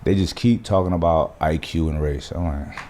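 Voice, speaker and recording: A man with a low, dark voice holds forth on his podcast. He speaks in an animated, emphatic way, full of street slang and cursing. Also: normal speed, slight background noise. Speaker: podcast host. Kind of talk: casual podcast conversation.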